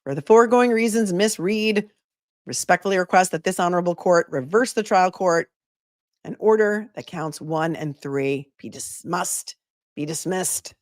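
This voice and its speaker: A woman attorney hosting a channel, hoarse from a long read, reading a legal brief aloud in a measured, emphatic way and then chatting casually with a guest. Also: lowish voice, dry recording, studio quality.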